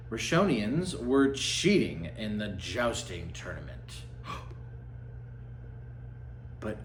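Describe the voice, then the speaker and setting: A man's voice: mid-range voice, a little hiss or hum. A man reading a storybook aloud as satire, mock-dramatic in the telling and dropping into sarcastic, casual muttered asides. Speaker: host reading a children's book aloud with mocking commentary. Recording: roomy, echoing sound.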